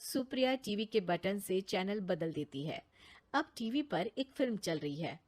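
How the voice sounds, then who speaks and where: A woman's voice: mid-range voice, lively intonation, unhurried. A woman reading scripted narration for a story.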